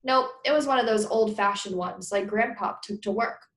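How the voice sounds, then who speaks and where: A modest, easygoing father in a children's storybook read aloud by a woman. The delivery is casual and gently teasing, at a middling pitch. It has a noticeably roomy sound. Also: normal speed, natural voice.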